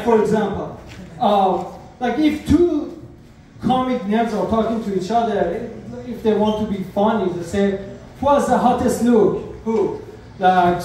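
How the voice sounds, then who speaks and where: Persian accent guy doing standup, high-ish voice, super echoey club, mic far off. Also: slight background noise. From Iran. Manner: animated, slightly broken English phrasing. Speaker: stand-up comedian. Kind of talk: stand-up comedy set. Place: small comedy club stage, indoors. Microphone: handheld mic, heard from a far camera.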